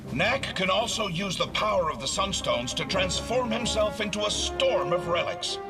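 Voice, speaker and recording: An actor playing a proud, showy scientist in a video game, presenting grandly like a lecturer. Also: male voice, high voice, lively intonation, normal speed. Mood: commanding, showy. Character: proud, showy male doctor and inventor, middle-aged or older. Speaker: scientist presenting his creation. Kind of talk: theatrical video game voice acting, presentation speech. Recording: somewhat distant, loud music behind.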